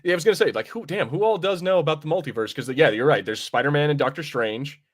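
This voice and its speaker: A man co-hosting a casual film review podcast, rambling like an excited fan and swearing freely. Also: a little high, quick pace. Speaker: podcast co-host.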